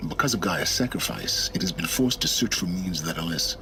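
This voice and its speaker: An actor playing a grave, learned guide in a video game, speaking in a formal, measured, lecturing manner. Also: male voice, low-pitched, animated, poor audio. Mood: grave, explaining. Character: grave learned man guiding a young woman remotely. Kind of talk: video game dramatic acting. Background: music.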